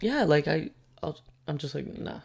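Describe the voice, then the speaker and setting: A man's voice: on the high side, big pitch swings, moderate pace, creaky, husky. A man in his twenties from Mexico with a Mexican accent, co-hosting a podcast in a laid-back, joking way with stumbling restarts. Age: 20s. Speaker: podcast co-host. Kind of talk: casual chat.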